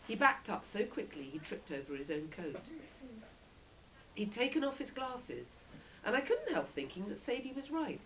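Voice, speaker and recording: A woman's voice: mid-range voice, normal speed. A woman reading a children's story aloud expressively, like a teacher. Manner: animated, with short explanatory asides. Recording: dull lo-fi audio with faint noise.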